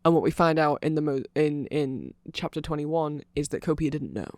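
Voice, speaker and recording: A man's voice: on the high side, animated, moderate pace, darkish tone, no echo, studio quality, close to an everyday voice. Man playing a nerdy rambling vampire, lightish voice. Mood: earnest, sympathetic. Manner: rambling, filler-heavy, earnest fannish enthusiasm. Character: nerdy rambling male vampire explaining band lore. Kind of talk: rambling ASMR explainer.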